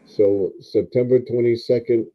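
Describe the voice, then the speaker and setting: A man's voice: mid-range voice, fairly even intonation, measured pace, muffled recording. A retired man in his seventies hosting a casual live stream, rambling with many fillers and restarts. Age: 70s.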